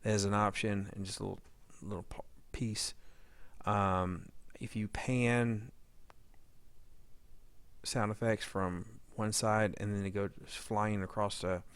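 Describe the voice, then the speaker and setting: A man giving a casual, unscripted editing demo as a sound editor, halting and rambling as he thinks aloud. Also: fairly low pitch, fairly even intonation, clean tone, no echo. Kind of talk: casual unscripted software tutorial narration.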